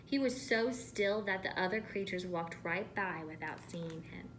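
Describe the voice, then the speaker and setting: A woman reading a storybook aloud to children as a teacher, expressive and pausing to ask questions. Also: lowish voice, slight background noise, low-quality recording.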